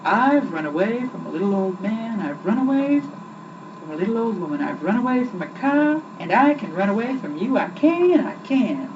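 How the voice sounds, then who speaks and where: Woman doing cheeky little gingerbread boy, high swoopy singsong taunts, storybook, noisy old tape. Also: big pitch swings, moderate pace, recorded from a distance. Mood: gleeful taunting. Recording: muffled, noisy home recording.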